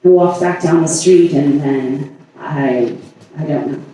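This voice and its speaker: A man performing a staged monologue as a lovelorn woman haunted by obsessive passion, halting and deliberate with long pauses. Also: fairly high pitch, mellow. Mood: haunted, confessional. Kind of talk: staged dramatic monologue reading. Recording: echoey, distant stage recording.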